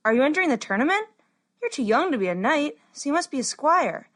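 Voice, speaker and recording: A woman voicing a shy, chatty girl who is an apprentice healer in a storybook, gentle and earnest. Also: high-pitched, sing-song, fast, pitched above the performer's own voice. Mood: curious, concerned.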